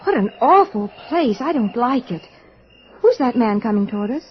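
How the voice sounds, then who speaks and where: An actress playing a naive, excitable, girlish young woman with a gushing manner in a radio drama. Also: fairly high pitch, normal speed, slight background noise. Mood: uneasy. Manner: gushing, breathless exclamations. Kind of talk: old-time radio drama acting. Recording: dull old radio recording with music.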